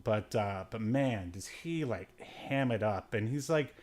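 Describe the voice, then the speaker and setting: A man's voice: on the low side, expressive, measured pace, darkish tone. A man hosting a podcast, casually reviewing a movie in a rambling, joking way with sarcastic asides. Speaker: podcast host.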